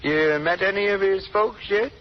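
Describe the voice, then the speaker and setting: A man's voice: sits high, moderate pace, natural voice. A man playing a friendly, folksy older service-station attendant in 1930s radio drama, easygoing and ready with advice. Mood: friendly, teasing. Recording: muffled, hissy old radio broadcast.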